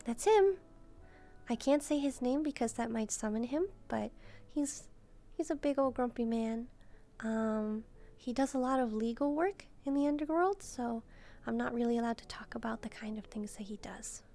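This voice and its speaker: A woman voicing a gentle, shy demon maid in a soft-spoken roleplay, speaking hesitantly as she muses aloud. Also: fairly high pitch, moderate pace, natural voice. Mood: gentle, chatty. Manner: hesitant, timid, musing aloud. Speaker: roleplay video creator. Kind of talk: soft-spoken first-person roleplay, relaxing.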